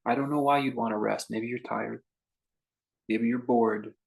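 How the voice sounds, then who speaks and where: Man leading an unhurried guided meditation. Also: medium pitch, fairly even intonation, vocal fry, low-quality recording. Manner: unhurried, gentle pauses between short phrases. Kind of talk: unscripted guided meditation talk.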